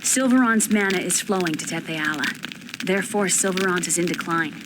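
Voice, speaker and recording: A woman's voice: fairly low pitch, brisk, lightly acted. A woman voicing an earnest young female summoner from another world in a video game, explaining things with conviction. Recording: noisy game ambience behind voice.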